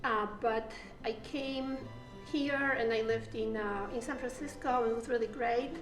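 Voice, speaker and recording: Polish-accented female artist, halting storyteller, roomy sound. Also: a little high, steady tone. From Poland. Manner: halting, many fillers and restarts. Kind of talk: personal story interview. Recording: echoey room with faint music.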